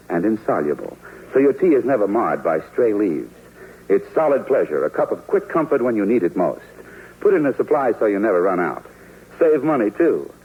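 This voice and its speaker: A man announcing a 1940s radio show and reading an emphatic commercial sales pitch. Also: breathy edge. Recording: tinny old radio broadcast.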